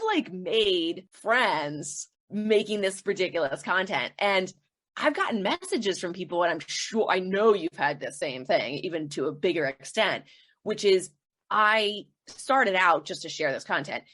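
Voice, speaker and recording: Laid-back woman guest, lowish voice, giggles a lot, does little voices when quoting folks. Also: animated, moderate pace, fairly bright tone. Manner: laughs often, acts out quoted voices. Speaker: podcast guest, comedian. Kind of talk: casual interview chat.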